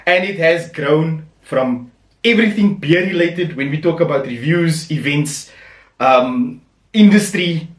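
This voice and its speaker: Male host, keen and chatty, slightly high voice, distant roomy mic. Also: a little slow. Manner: enthusiastic, filler-heavy, upbeat questions. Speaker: podcast host. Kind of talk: casual interview chat. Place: home room. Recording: echoey room, voice off-mic.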